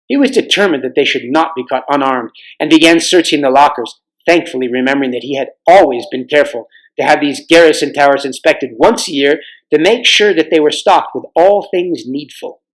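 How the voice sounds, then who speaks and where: A man's voice: a little high, fairly quick, few pauses, low-quality recording. A man reading a storybook aloud as narrator. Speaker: volunteer storybook reader.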